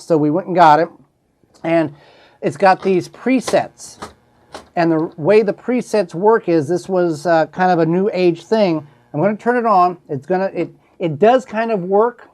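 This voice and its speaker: A man's voice: high-pitched, a little mellow. Folksy man doing a show-and-tell. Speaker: host showing a collectible.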